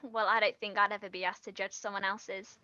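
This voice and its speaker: Actress playing flustered female writer, eager, halting, forceful bright tone, close dry lo-fi recording, stage play. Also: mid-range voice, flat delivery, dry recording, natural voice. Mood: rambling, awkward. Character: nervous, eager female aspiring writer hiding her past. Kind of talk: naturalistic stage play acting.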